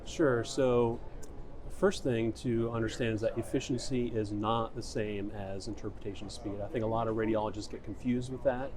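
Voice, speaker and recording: A middle-aged man giving measured, explanatory interview answers as a medical specialist, often restating his point. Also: on the low side, steady tone, a crowd in the background. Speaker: emergency radiologist being interviewed. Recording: clear ambient venue noise. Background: background ambience noise.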